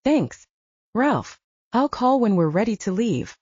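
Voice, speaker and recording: A woman's voice: on the high side, big pitch swings, unhurried, a little mellow, slightly rough, no room sound, highs cut off, voice pushed up, exaggerated voice. A woman voicing a suave, courteous, wealthy man in a romance audiobook.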